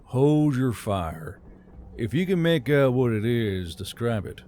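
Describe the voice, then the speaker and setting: A man's voice: mid-range voice, sing-song, takes it slowly, warm tone, slight background noise. A man voicing a commanding, good-humoured military warlord in an audiobook, terse but amiable.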